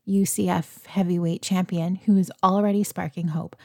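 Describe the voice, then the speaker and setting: A woman reads a feature article aloud, her voice sitting low and steady. The pace is even and measured. It is recorded close and dry, with no room sound at all. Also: dry recording. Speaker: reader of a magazine article.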